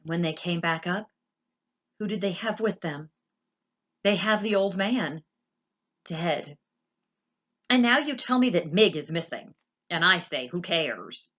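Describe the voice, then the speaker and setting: A woman reading aloud and voicing a blunt, no-nonsense castle servant woman, close to her own slow, husky voice. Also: on the low side, animated, fairly bright tone, lo-fi sound, full character voice.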